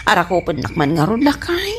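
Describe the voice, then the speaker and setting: An actress with an Ilocano accent playing a nagging, needy, affectionate village wife in a radio comedy drama. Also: female voice, fairly low pitch, huge pitch swings, a little fast, fairly bright tone, slight background noise. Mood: pleading, pouty.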